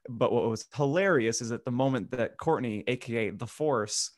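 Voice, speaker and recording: A man's voice: mid-range voice, moderate pace, dry recording, studio quality. A man co-hosting a podcast in a casual, jokey, rambling group chat. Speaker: podcast co-host.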